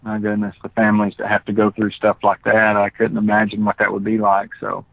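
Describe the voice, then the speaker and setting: A tired man with a raspy voice, speaking halting and earnest, with many fillers, as a guest. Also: fairly low pitch, monotone, no echo. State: tired, late at night. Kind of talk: casual conversation. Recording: tinny phone line.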